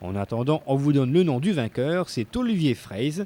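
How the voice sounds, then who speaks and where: A man's voice: mid-range voice, very animated, moderate pace, dark tone. A man narrating a scripted local TV report in a playful, tongue-in-cheek manner.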